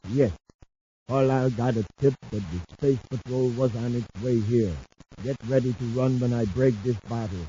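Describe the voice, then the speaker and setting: A masked villain, played by a man, delivers threats with calm, matter-of-fact menace. The voice is mid-pitched and mellow, in fifties radio adventure style. The recording is dull, like an old broadcast. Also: moderate pace, a little hiss or hum, close to an everyday voice. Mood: coolly menacing. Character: masked male henchman, coolly menacing villain. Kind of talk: 1950s radio adventure serial acting.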